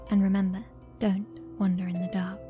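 A woman's voice: medium pitch, level tone, a little fast, mellow. A woman reading scripted closing credits as a podcast announcer. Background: music.

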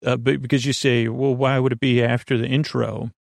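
A man with a creaky voice hosting a bedtime podcast in a meandering, halting monologue that keeps interrupting and repeating itself. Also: medium pitch, little pitch movement, dry recording, studio quality. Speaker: podcast host.

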